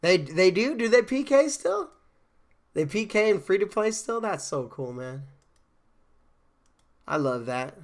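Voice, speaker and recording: A man's voice: high voice, sing-song, measured pace, long pauses. A man casually chatting with viewers on a game stream, rambling with fillers and restarts, a bit congested from a cold. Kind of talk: casual live-stream chat.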